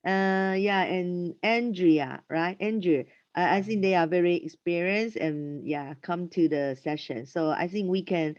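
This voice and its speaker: Woman, English teacher, halting restarts, muffled lo-fi sound, dead dry room. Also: on the low side, darkish tone, no room sound. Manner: halting, restarts often, says "I mean" a lot. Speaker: English teacher hosting an online class. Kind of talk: casual classroom talk. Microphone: video call. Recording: dull dry video call audio.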